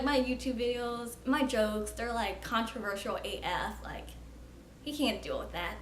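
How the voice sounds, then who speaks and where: A woman's voice: medium pitch, fairly bright tone. A young woman in her twenties talking frankly and casually to camera as a vlogger, filling her sentences with "like.". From United States. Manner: chatty, frank, frequent "like" fillers. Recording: roomy echo with faint ambient noise.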